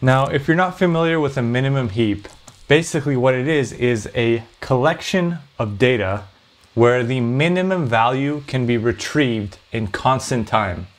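A man hosting a programming tutorial, explaining step by step in a conversational way. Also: medium pitch.

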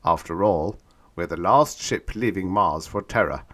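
A man's voice: low-pitched, unhurried, a bit bright. A man voicing a blunt, blustering businessman. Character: heavy-set middle-aged businessman, blustery.

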